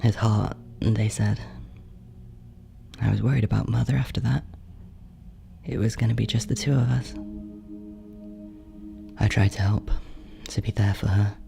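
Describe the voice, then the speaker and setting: An actor playing a jealous, guilt-ridden older brother in a horror audio drama monologue, halting and confessional, with a gravelly, creaky voice. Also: male voice, lowish voice, fairly even intonation, dark tone, faint music behind. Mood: bitter, melancholy. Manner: hesitant, confessional, halting, drops toward whispers.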